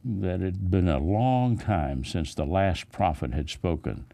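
Slow, deep-voiced man reading scripture. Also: expressive, vocal fry, dark tone, dry recording. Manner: deliberate, solemn, phrase-by-phrase delivery. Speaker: pastor reading scripture aloud. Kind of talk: measured Bible reading with brief commentary.

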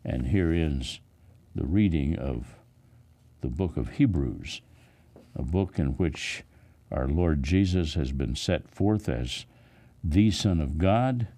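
A man reading the Bible aloud as a pastor, in a deliberate, solemn, phrase-by-phrase delivery. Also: very deep voice, lively intonation, mellow, dry recording. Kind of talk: measured Bible reading with brief commentary.

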